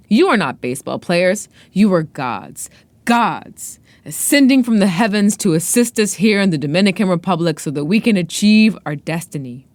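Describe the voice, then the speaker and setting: A woman's voice: lowish voice, darkish tone, no room sound, lightly acted. A woman voicing a commanding, glamorous female propagandist in a novel, exhorting listeners in a grandiose, dramatic reading style. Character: tall, glamorous, commanding young woman in army fatigues, fervent propagandist.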